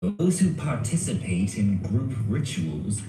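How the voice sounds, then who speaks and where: A man's voice: fairly low pitch, sing-song, slow, mellow, heavily acted. A man playing a solemn, ominous elder of a secret group in an audio drama. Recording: roomy, distant, faint music behind.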